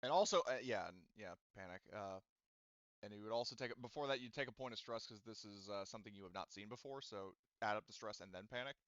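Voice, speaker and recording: A man's voice: lowish voice, quick pace, a bit bright, dry recording, muffled recording. A man running a tabletop roleplaying game as game master, rambling through rules with many fillers. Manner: rambling, filler-heavy, laughs often.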